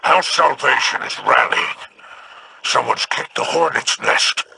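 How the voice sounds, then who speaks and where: A greedy alien crime boss with a slow, heavily gravelly growl and a sharp, forceful edge to it. He sounds sly and haughty, always angling for profit. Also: mid-range voice, a little hiss or hum, exaggerated voice, low-quality recording. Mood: scheming. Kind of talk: video game dialogue.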